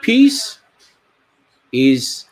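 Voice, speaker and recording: A man addressing an online community meeting, pausing often with fillers and speaking slowly and deliberately. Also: high-pitched, big pitch swings, husky. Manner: halting, many fillers, switches into Arabic.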